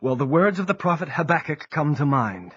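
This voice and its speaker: An actor playing an earnest, devout 1840s preacher in a radio drama. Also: male voice, fairly high pitch, sing-song, fairly quick, a bit bright, husky, full character voice. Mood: eager. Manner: earnest, heartfelt delivery. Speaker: Charles Fitch. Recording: dull lo-fi recording with music.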